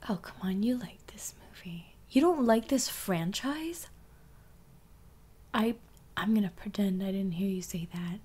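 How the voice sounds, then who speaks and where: A woman's voice: medium pitch, a little slow, darkish tone, slightly rough. A young woman playing a bossy, teasing step-sister in an intimate ASMR roleplay, often dropping toward a whisper. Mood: teasing, relaxed.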